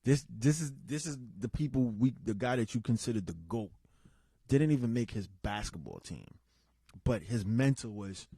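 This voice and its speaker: A man hosting a casual, unscripted podcast, talking in a loose, rambling way, restarting sentences and giving shout-outs to listeners. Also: medium pitch, expressive, normal speed, breathy edge, no room sound. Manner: rambling and conversational, with many restarts and asides. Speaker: podcast host.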